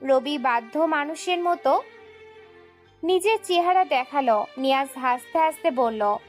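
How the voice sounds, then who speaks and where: A woman's voice: sits high, expressive, a little slow, faint music behind. A woman narrating a scripted dramatic story as its storyteller.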